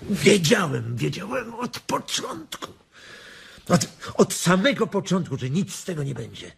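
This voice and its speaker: An actor playing a bitter, resigned ranch hand in a radio drama, gravelly and husky, speaking heavily and haltingly. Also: male voice, high-pitched, very animated, exaggerated voice. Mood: bitter resignation. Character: weary, bitter, resigned migrant ranch worker.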